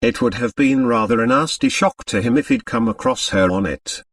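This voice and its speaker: A gruff American businessman played by a male narrator in a mystery audiobook, blunt and bitter. He speaks with angry emphasis, slightly distant from the microphone. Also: mid-range voice, normal speed, no echo, lightly acted. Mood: grim. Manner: gruff, emphatic, plain-spoken.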